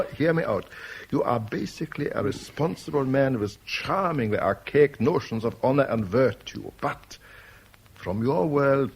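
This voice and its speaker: An actor playing a suave, menacing crime boss with urbane, formal phrasing in a 1970s radio drama. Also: male voice, medium pitch, vocal fry, darkish tone, husky, full character voice.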